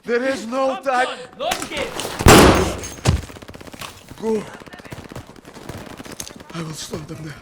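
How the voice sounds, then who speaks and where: Urgent Soviet soldier, swooping pitch. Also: male voice, lively intonation, slow, heavy background noise, exaggerated voice. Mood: pleading, resolute. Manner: urgent, commanding, clipped. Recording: loud music, gunfire in battle scene. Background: loud score, gunfire.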